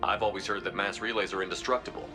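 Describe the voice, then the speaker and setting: A man's voice: low voice, fairly even intonation, fast talker, natural voice. A man playing a terse, commanding space military officer in a video game, blunt and clipped in his questioning. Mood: probing, skeptical. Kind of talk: video game cutscene acting. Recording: music under the dialogue.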